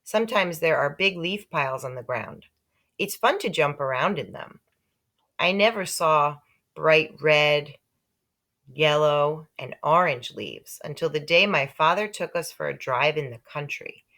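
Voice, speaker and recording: A woman reading a children's story aloud in an expressive, animated way. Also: deep voice, a little slow. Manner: animated, with character voices for dialogue. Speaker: audiobook-style reader.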